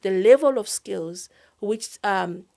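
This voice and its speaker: Woman business guest, earnest urgent appeal, mid-pitched, keeps restarting words. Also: slow, creaky, warm tone. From outside South Africa. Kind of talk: interview, passionate appeal.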